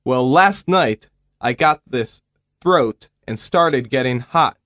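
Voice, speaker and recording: A man's voice: medium pitch, expressive, a bit bright, no room sound, studio quality. A man voicing a patient with a cold who speaks hesitantly in a scripted listening dialogue. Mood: unwell. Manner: slow, hesitant speech. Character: male patient with a cold, unwell.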